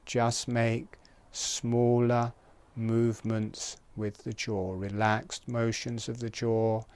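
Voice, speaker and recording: Slow, smooth-voiced man guiding relaxation. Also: mid-range voice. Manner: calm, gentle, unhurried, spaced short phrases. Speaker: breathing and relaxation instructor. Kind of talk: guided relaxation exercise instruction.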